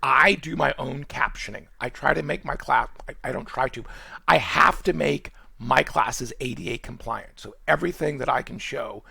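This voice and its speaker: A man from Boston with a Boston accent, giving a college course orientation in quick, rambling speech full of fillers and restarts. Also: medium pitch, vocal fry, bright, forceful tone, husky, no room sound. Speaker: college nutrition instructor. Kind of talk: screen-recorded course orientation.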